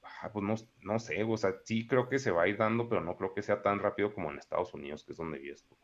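A man's voice: lowish voice, fast, muffled recording. A man in his thirties with a Mexican accent, talking in a rambling, slangy casual chat. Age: 30s. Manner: slangy, rambling, peppers speech with "güey".

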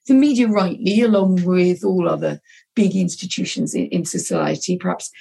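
A woman moderating a podcast panel, steering the talk briskly between her guests. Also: lowish voice, fairly even intonation, gravelly, mellow, slightly rough. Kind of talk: panel discussion.

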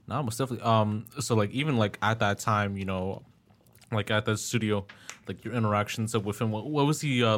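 A young man hosting a podcast, asking long, rambling questions full of fillers in a laid-back way. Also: fairly low pitch. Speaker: podcast host. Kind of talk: casual interview talk.

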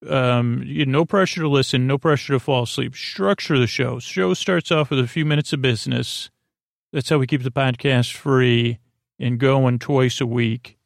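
A male podcast host with a gravelly, fry-heavy voice at a middling pitch. He talks in a meandering, halting bedtime monologue, circling back and repeating himself as he wanders off topic. The sound is very dry, with no room around it. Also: no room sound. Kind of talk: rambling bedtime podcast intro, casual monologue.

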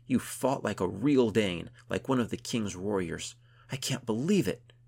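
A man voicing an exuberant, teasing woman adventurer in an audiobook, exclaiming and declaiming in a gravelly voice. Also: medium pitch, expressive, unhurried, pitched above the performer's own voice. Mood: amazed, elated. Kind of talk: audiobook character dialogue.

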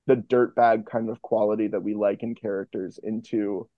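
A man's voice: lowish voice, level tone, normal speed, no echo, phone-quality sound. A man speaking as a podcast guest in casual, rambling, enthusiastic interview chat. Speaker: podcast guest, fiction podcast co-creator.

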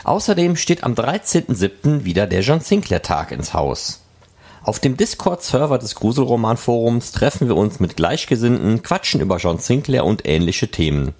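A man's voice: mid-range voice, sing-song, moderate pace, a little mellow. A man reading scripted news and announcements as the host of a podcast.

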